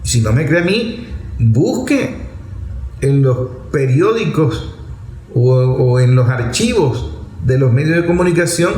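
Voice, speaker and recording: A man's voice: medium pitch, animated, slow, darkish tone, roomy sound, poor audio. A man with a Venezuelan accent giving unscripted political commentary in a blunt, colloquial, emphatic manner, often posing rhetorical questions.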